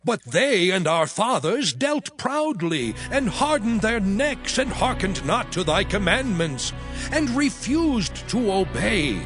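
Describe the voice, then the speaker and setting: A man's voice: sits high, full character voice. A man playing a fervent, reverent Levite praying aloud in a declamatory dramatized scripture reading. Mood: solemn confession. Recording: music bed under the voice.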